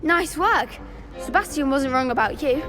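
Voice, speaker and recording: A high, youthful schoolgirl from a fantasy game. She explains things briskly and with confidence, like an organiser of a student club. Music plays clearly behind her. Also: lively intonation. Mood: eager, confident. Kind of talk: scripted video game acting.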